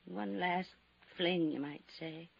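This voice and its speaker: Slow, creaky, weary society widow. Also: female voice, fairly low pitch, exaggerated voice. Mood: wistful, foreboding. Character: weary, wistful aging society widow hiding her fear. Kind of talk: 1950s radio drama acting. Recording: dull, noisy old radio recording.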